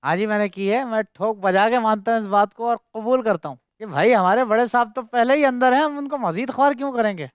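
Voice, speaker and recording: A man's voice: very high voice, a little fast, hardly any pauses, clean tone, dry recording, clean studio sound. A man on a comedy panel who speaks with courtly, flowery politeness.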